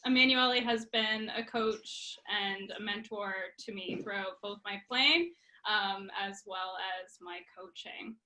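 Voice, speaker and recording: A woman's voice: medium pitch, unhurried, bright, forceful tone, low-quality recording. A woman in her thirties with a Prince Edward Island accent, a youth soccer coach chatting casually and laughing often in an interview. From Prince Edward Island, Canada. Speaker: girls' program coach.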